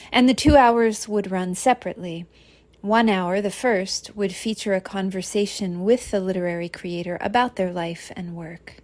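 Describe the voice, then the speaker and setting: A woman giving a prepared, measured spoken answer as a contributor to an academic program. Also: mid-range voice, a little mellow, no echo. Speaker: contributor answering a question on African studies.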